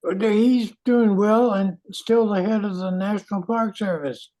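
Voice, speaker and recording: Woman playing a slow, mellow park ranger. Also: medium pitch, close to an everyday voice, poor audio. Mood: grave. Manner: measured, serious. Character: grave, steady park ranger seeking help. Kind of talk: old-time radio play acting.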